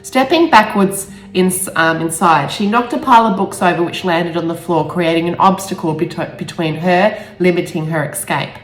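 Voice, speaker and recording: A woman author speaking in a halting, emotional way, stumbling over words now and then. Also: on the low side. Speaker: author reading own novel aloud. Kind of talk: amateur storybook reading with personal asides. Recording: roomy echo with faint music.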